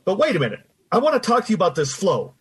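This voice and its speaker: A man acts out a good-natured bumbler from an old-time radio play. His high voice jumps about with a slightly rough edge. He repeats himself in a folksy, easily flustered way. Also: wildly swooping pitch, rapid-fire, heavily acted. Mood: flustered, confused. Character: good-natured, easily flustered male 1950s store coworker. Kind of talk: old-time live radio drama acting.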